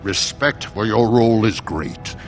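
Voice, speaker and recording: An actor voicing a weary, exasperated dwarf steward with a formal, commanding manner in a fantasy game. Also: male voice, on the low side, a little slow, soft background music, close to an everyday voice. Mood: weary, apologetic. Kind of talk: dramatic fantasy game acting.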